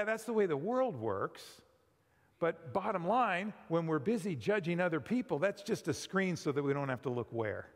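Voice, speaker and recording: A middle-aged man preaching a sermon in a church sanctuary, conversational and animated, often asking his listeners questions. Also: a little high. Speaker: pastor. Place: large church sanctuary with pipe organ. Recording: long hall reverb.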